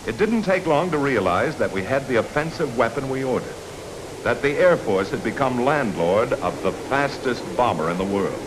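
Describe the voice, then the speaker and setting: An old film soundtrack with clear hiss carries an American man's narration. He reads in a scripted 1950s military training style, measured and instructive. His medium-pitched voice moves expressively up and down, with firm emphasis on key points. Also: lively intonation.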